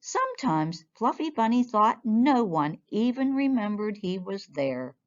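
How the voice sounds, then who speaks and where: A woman reads a picture book to children with a lively, expressive swing in her medium-pitched voice. The recording is a little dull and lo-fi. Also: a little slow. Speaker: children's storyteller. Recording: dull, lo-fi sound.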